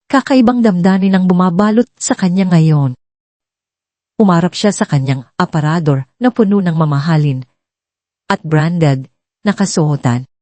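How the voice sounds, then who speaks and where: A woman's voice: fairly low pitch, lively intonation, a little slow, warm tone, no echo. A woman reading a scripted dramatic story as a storyteller.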